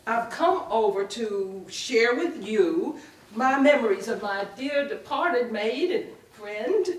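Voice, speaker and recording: Woman acting indignant condescending lady of the house, animated slow delivery, haughty, scolding, staged reading, roomy distant recording. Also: fairly high pitch. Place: indoor live reading at a public library. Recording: echoey room, picked up from a distance.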